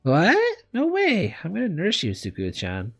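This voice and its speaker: A man voicing an affectionate, devoted high school girl from a visual novel, earnest and exclamatory. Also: big pitch swings, brisk, gravelly, soft background music. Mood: eager, insistent.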